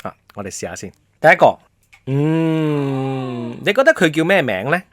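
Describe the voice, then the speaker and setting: Animated Hong Kong man hosting a quiz. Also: a little high, normal speed, dry recording. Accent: Hong Kong Cantonese. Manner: explanatory, playful, prompting with questions and hints. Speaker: radio host and quizmaster. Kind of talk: casual promotional quiz chat.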